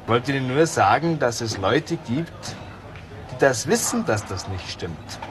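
A man's voice: medium pitch, expressive, measured pace, lightly acted. Actor as sly needling man, noisy background. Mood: needling, menacing. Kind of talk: German crime radio drama acting.

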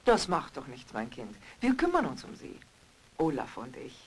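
A woman's voice: deep voice, wildly swooping pitch, normal speed, slightly rough, full character voice. A woman playing an eccentric, volatile older landlady and faded singer in a theatrical horror audio drama. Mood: motherly.

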